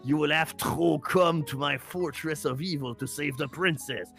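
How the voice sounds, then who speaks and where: A man's voice: fairly high pitch, moderate pace, gravelly, a bit bright, soft background music. A man voicing a smug, theatrical cartoon villain with a pompous, gloating manner.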